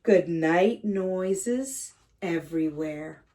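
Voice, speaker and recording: A woman reading a picture book aloud to young children as a teacher, speaking to them directly in simple, gentle phrases. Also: on the low side, very slow, slight background noise. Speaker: kindergarten read-aloud teacher and host. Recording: slightly distant microphone.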